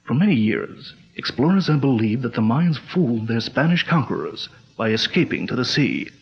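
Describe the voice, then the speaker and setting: An actor playing a calm, authoritative detective chief, speaking in a measured, deliberate way in a 1940s-style radio drama. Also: male voice, medium pitch, husky. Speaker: lead detective. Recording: dull lo-fi old radio recording.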